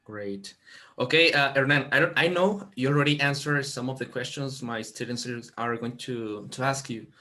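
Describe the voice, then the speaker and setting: A man's voice: medium pitch, normal speed, sharp tone. A man teaching a class, hosting a video call and speaking hesitantly with frequent fillers and restarts.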